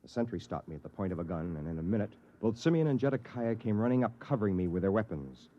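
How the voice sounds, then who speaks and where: Actor as a low-voiced, wary lone Earthman. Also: animated, fast talker, close to an everyday voice. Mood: wry, wary. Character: wary, exasperated lone Earthman narrating his ordeal. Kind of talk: 1950s radio drama, first-person narration and dialogue. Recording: noisy, dull vintage 1950s radio recording.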